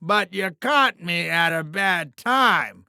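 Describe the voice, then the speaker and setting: A sleazy alien TV mogul from a video game, pitched high with a heavy gravelly creak. He talks in pompous, smarmy showbiz patter with a bright, forceful edge, wheedling and self-important. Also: animated, slow pace, no echo, clean studio sound, full character voice. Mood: chatty, evasive. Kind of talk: theatrical video game character acting.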